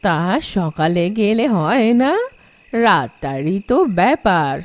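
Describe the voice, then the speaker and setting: A woman voicing a doting old village grandmother in a cartoon, speaking gently and sadly. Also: medium pitch, big pitch swings. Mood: gentle. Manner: slow, gentle, downcast. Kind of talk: Bengali cartoon voice acting.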